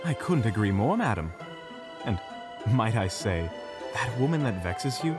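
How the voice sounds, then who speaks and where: A man playing a smooth-talking, snide adventurer in an audio drama, with a pompous, affected way of talking. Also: fairly low pitch, very animated, unhurried, over music. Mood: flattering gossip.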